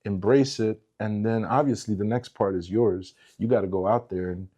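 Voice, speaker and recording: A man's voice: fairly low pitch, steady tone, moderate pace, mellow, highs cut off. A middle-aged man born in Portugal, a former teacher talking in a casual conversation, emphatic and given to repeating words as he builds a point.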